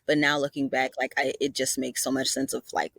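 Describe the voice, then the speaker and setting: A woman's voice: extremely low pitch, little pitch movement, a little fast, vocal fry, a bit bright, dry recording. A young woman with a Southern accent, talking as a guest in a casual conversation, rambling with lots of fillers. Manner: rambling, lots of "like" and "you know".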